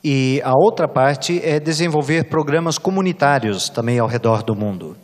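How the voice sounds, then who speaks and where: A woman's voice: very deep voice, lively intonation, normal speed. A woman with a Brazilian accent interpreting a church address live, phrase by phrase. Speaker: consecutive interpreter. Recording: echoey hall with long reverb.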